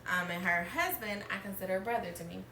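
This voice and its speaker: A woman's voice: mid-range voice, fast, creaky, pushed and bright, slight background noise. A woman, telling personal stories as a podcast host, chatty and laughing often. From Alabama, US. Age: 30s. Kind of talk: candid storytelling chat. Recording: roomy, distant mic.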